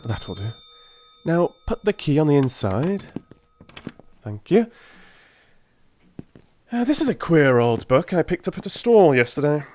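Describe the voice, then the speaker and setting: A man's voice: a little high, a little mellow, voice pushed up. A man voicing a brilliant, confident detective in a dramatised audiobook, with theatrical, emphatic delivery. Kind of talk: dramatised audiobook character acting.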